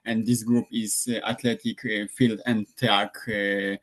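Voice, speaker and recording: A young man from Poland, introducing himself haltingly as a student running coach. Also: on the low side, flat delivery. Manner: halting, searching for words. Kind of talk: self-introduction on a video call.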